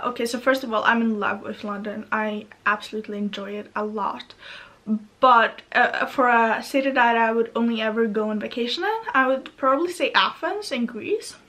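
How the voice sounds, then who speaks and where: A young Norwegian woman talks on and on in a loose, rambling way, stacking fillers and side thoughts. Her voice sits a little high and her accent colors her English. She speaks from her bedroom. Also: fairly bright tone. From Norway. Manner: chatty, rambling, many fillers. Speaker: vlogger. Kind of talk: rambling casual monologue.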